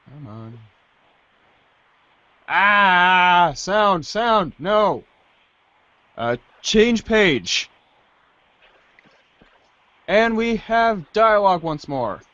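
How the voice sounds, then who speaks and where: A man's voice: high-pitched, sing-song, very slow, long pauses, bright, forceful tone, dry recording. A man narrating as host of a reader's theater, theatrical in the story and casual in asides, on a slightly dull recording.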